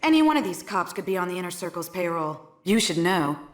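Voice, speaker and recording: A woman's voice: fairly low pitch, big pitch swings, quick pace, a little hiss or hum. A woman voicing a tough jailed hired killer in a video game, urgent, clipped and insistent. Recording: echoey room.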